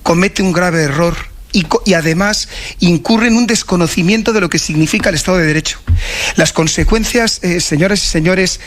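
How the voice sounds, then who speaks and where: A man's voice: high-pitched, brisk, fairly bright tone, slight background noise. A man with a Spanish accent giving a live interview as an association president, speaking formally, solemnly and emphatically, saddened and shaken. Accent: Spain. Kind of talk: live radio phone interview.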